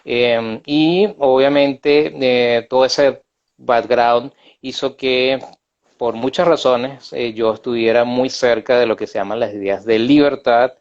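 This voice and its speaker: A man's voice: medium pitch, fairly even intonation, slow, a little mellow, highs cut off. A middle-aged man with a Venezuelan accent, a guest in a casual live video interview, talking freely with many hesitations and side remarks. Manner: chatty, many filler hesitations and self-asides. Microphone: video call.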